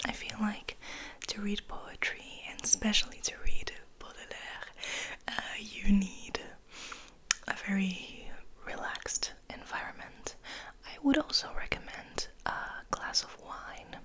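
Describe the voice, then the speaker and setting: A woman whispering ASMR as host, rambling softly and intimately to the listener, sometimes slipping between two languages. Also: mid-range voice, poor audio. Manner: slow, intimate whisper, addressing the listener affectionately; switches between English and French. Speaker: ASMR host and poetry reader.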